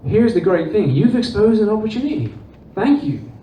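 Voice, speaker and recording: A man with a Dutch accent, giving an animated conference talk and acting out quoted dialogue. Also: high-pitched, moderate pace. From Netherlands and England. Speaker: conference presenter. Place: conference room. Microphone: distant room recording. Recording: distant, roomy, dull with faint noise.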